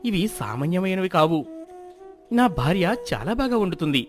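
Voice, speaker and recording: A man's voice: high voice, very animated, moderate pace, soft background music. An actor playing a humble, sincere village fisherman in a moral story cartoon, speaking respectfully.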